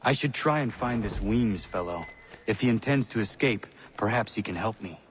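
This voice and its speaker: An actor playing an earnest, stoic young warrior in a video game, speaking in a blunt, formal, deadpan way. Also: male voice, on the low side, moderate pace, a little hiss or hum, close to an everyday voice. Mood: wry, determined. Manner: formal, deadpan, without contractions. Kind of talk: video game cutscene acting.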